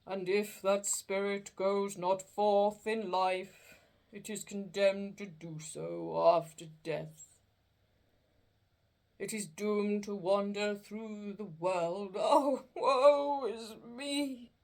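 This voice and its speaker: A woman voicing a doomed, chained male ghost, speaking slowly and solemnly in a storybook reading. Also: steady tone, a little mellow. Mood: mournful. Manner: slow, booming and drawn out.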